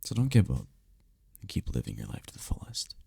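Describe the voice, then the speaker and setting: Man acting as male incubus lover, very dark husky voice, soothing, teasing, intimate ASMR roleplay, close dry mic. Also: huge pitch swings, brisk, no echo, heavily acted. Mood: gentle, consoling. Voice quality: gravelly, dark low voice. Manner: soft, soothing, teasing, lapses into whispers. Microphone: close microphone, dry.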